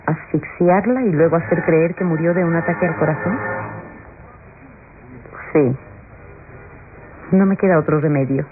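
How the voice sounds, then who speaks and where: An actress playing a desperate, murderous niece, talking to herself in melodramatic classic radio soap style. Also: female voice, low-pitched, unhurried, long pauses, a little hiss or hum. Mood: desperate, menacing. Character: scheming, desperate murderous niece plotting to silence her aunt. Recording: tinny old radio recording, music behind.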